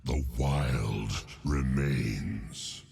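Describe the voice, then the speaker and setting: An actor playing an ancient bear god of storms for a game, proud and wrathful, with a booming, rasping voice and solemn, grandiose delivery. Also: male voice, a little mellow, full character voice. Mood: solemn menace. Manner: solemn, grandiose, slow pronouncements. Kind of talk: game character voice lines, epic fantasy.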